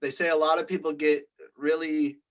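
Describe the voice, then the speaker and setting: Male, slightly high voice, conversational discussion leader explaining things informally to a group. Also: flat delivery, normal speed.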